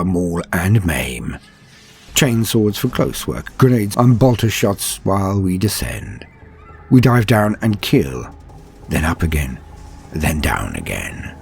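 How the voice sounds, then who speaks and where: Man voicing a husky, cruel raptor warlord. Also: lowish voice, a little mellow, heavily acted. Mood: savage relish. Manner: slow menacing delivery, theatrical, haughty. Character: cruel, gloating winged warrior of a demonic raptor cult. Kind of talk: dramatic first-person scripted story narration.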